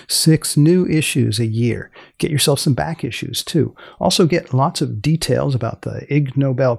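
A man hosting a podcast with a dry, deadpan, questioning manner and a husky, creaky voice. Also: mid-range voice. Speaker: podcast host. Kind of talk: casual interview with scripted sign-off.

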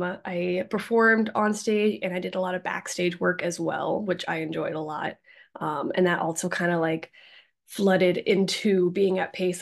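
A woman's voice: fairly low pitch, vocal fry. A young woman from Massachusetts, a guest talking casually in an interview, chatty with many ums and likes. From Massachusetts, Cape Cod. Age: young adult.

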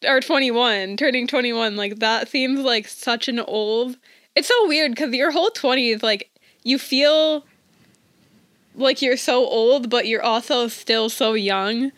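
A young woman in her twenties hosts a podcast, talking in a loose, rambling way full of fillers. Her voice sits a little high. The recording is dry and close, with no room sound. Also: takes it slowly, fairly bright tone, no echo. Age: 20s. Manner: chatty, rambling with many "like"s. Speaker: podcast host. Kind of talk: casual chat.

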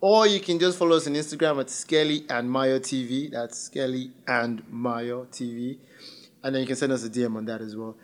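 A man's voice: mid-range voice, fairly quick. A man co-hosting a podcast in a casual, teasing, jokey way. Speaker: podcast co-host. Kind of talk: casual chat.

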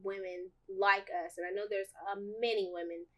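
Young woman with a loud projected voice. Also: mid-range voice, flat delivery, moderate pace, muffled recording. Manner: earnest, reflective. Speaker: podcast co-host. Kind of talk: casual chat about dating.

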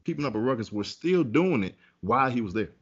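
A man's voice: mid-range voice, animated, fast, husky, highs cut off. A man telling a personal story in a casual podcast chat, candid and conversational. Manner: candid, conversational, with many fillers and restarts.

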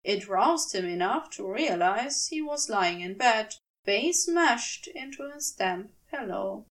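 A woman reading a scripted story as narrator, in an expressive and deliberate storytelling manner. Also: mid-range voice, distant mic. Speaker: podfic narrator.

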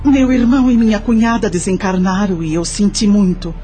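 An actress playing a grateful, remorseful widowed mother, narrating her life in a confiding, measured way for a radio drama. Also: mid-range voice, music in the background, natural voice. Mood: sorrowful, confessional.

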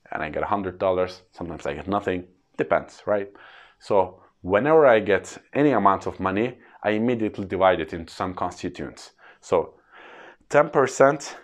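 A man in his thirties with a Turkish accent, explaining personal finance in an earnest, plain way to camera. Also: deep voice. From Turkey. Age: 30s. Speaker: freelance trainer.

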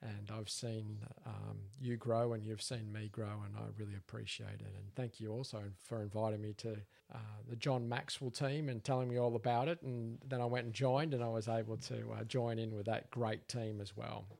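A man's voice: lowish voice, flat delivery, normal speed, vocal fry, few pauses, a little mellow. A man with an Australian accent co-hosting a podcast, earnestly asking his guest questions in casual chat. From Papua New Guinea. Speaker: podcast co-host, interviewer.

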